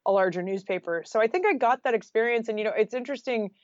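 A woman's voice: a little high, quick pace, no echo, dull audio. An American woman telling stories casually as an interview guest, chatty and sprinkled with "like". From Oregon, United States.

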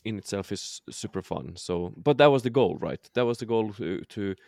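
A man's voice: lowish voice, animated, normal speed, creaky, darkish tone, dry recording, clean studio sound. A man co-hosting a podcast in casual chat, hesitant and often restarting his sentences. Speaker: podcast co-host.

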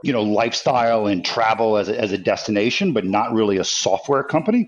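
A man, an interview guest, explaining his work in a relaxed conversational way with many fillers. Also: mid-range voice, dull audio. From New Orleans area, US Gulf Coast. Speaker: guest, tech company executive. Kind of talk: interview answers.

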